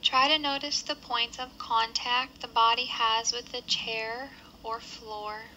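A woman guides a body scan meditation in a slightly high, very bright voice. Her phrasing is measured and gentle, giving simple instructions with pauses between them. There is faint noise in the background of the recording. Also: level tone, poor audio.

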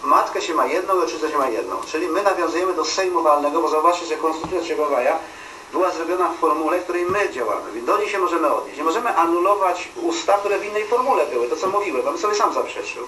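A man's voice: on the high side, lively intonation, quick pace, bright, forceful tone. Lecturing middle-aged man in an echoey hall. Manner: insistent, lecturing, frequent restarts. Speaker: chairman of a meeting. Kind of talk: persuasive meeting speech. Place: stone-walled banquet hall. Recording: roomy and distant, faint hiss.

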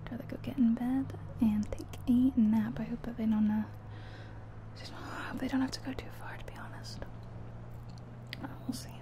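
A soft-spoken woman doing an ASMR roleplay as a friendly, chatty taxi driver, in a gentle, whispery delivery. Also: on the high side, steady tone, normal speed, pauses often. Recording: faint background noise of game car sounds. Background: car sounds from a driving game.

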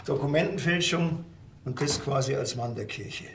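An actor playing a gruff, sarcastic Bavarian police officer in a TV crime comedy, blunt and dry. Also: male voice, mid-range voice, moderate pace, background noise, natural voice. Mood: accusing.

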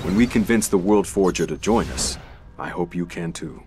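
An actor playing a grave, noble alien superhero elder in a video game, solemn and formal. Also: male voice, deep voice, normal speed, natural voice. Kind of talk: video game cutscene acting. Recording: game music behind.

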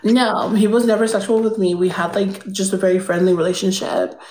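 A woman's voice: mid-range voice, fairly even intonation, fairly quick, darkish tone, natural voice. Casting: a young woman as a spoiled, shameless rich girl, airy drawl, roomy phone audio. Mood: smug, airy. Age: young adult. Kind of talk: improvised comedic character on a livestream. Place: indoor room with pink lights. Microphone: phone front camera.

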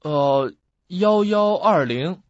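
Actor as an anxious young man, widely swinging pitch. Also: lively intonation, drawn-out words, dry recording, exaggerated voice. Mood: hesitant, polite. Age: young adult. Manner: dramatic, hesitant, self-questioning. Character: anxious, puzzled young man searching for answers. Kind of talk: radio drama acting. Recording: muffled lo-fi audio, music behind.

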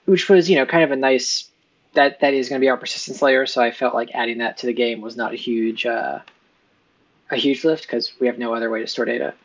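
A man with a mid-pitched, everyday voice explains his work over a video call. He talks in a relaxed, easygoing way, sprinkling in fillers as he goes. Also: fairly quick. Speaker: co-host of a game dev stream. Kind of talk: casual technical chat.

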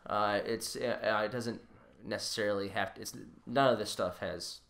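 A man's voice: on the low side, fairly even intonation, moderate pace, a bit bright. A man co-hosting a podcast in casual chat, often repeating words as he feels his way into a sentence. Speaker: podcast co-host.

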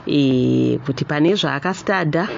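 Zimbabwean woman hosting radio, low-voiced, slightly muffled. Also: measured pace, vocal fry. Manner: switches between Shona and English. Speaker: radio talk show host. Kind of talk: live call-in radio talk, reading out listener messages. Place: small radio studio. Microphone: studio microphone on boom arm. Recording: muffled studio audio with faint noise.

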